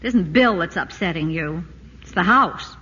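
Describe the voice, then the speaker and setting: A woman's voice: lowish voice, animated, normal speed, fairly bright tone, muffled recording. An actress playing a cheerful, devoted wife and mother in a 1940s radio drama, with a sweet, coaxing manner. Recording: old radio broadcast with long reverb, faint hiss.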